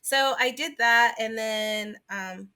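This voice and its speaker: A woman's voice: fairly high pitch, takes it slowly, pushed and bright, smooth. A woman podcast co-host in casual chat about games, informal and sweary, peppering her speech with "like".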